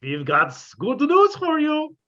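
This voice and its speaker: A man's voice: extremely high pitch, huge pitch swings, a little slow, sharp tone, dull audio, exaggerated voice. A man playing a florid, theatrical professor in a 1950s-style radio comedy. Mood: pompous.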